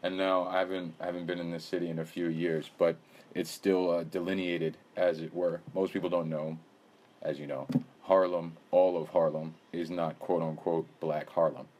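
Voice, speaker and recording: Very deep-voiced male podcast host. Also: level tone, normal speed, a little mellow. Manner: rambling, hedging with fillers and frequent laughs. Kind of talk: casual conversational interview.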